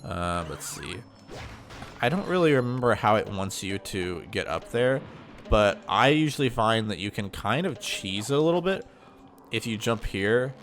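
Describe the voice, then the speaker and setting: A man's voice: fairly low pitch, expressive, a little slow, a bit bright, slight background noise. A man with a slightly nasal, congested voice giving a chatty, rambling walkthrough of a video game. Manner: chatty, rambling, with frequent ums and self-corrections. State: mild sinus congestion. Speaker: gaming channel host. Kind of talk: casual gameplay commentary.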